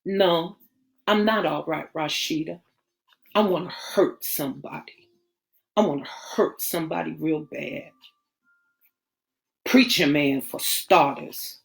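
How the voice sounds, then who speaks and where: Woman reading as a jilted man, lowered brooding voice, clipped and bitter, slow. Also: creaky, exaggerated voice. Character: angry betrayed young man, bitter.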